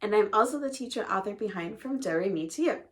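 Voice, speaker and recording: A middle-aged music teacher talks to her camera in a hushed, hoarse voice, her throat worn by a cold. She speaks softly and steadily, like she is sharing classroom ideas with fellow teachers. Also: mid-range voice, expressive, fast. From Northern Indiana, US. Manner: soft, quiet delivery. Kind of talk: teacher vlog, talking to camera.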